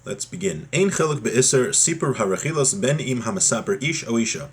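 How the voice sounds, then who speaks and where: Guy teaching religious law, kinda husky voice, middle pitch, chatty and explaining as he goes. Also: expressive, fairly quick. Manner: conversational, explanatory, checks in with "right?". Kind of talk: informal religious lesson, reading a text aloud then explaining it.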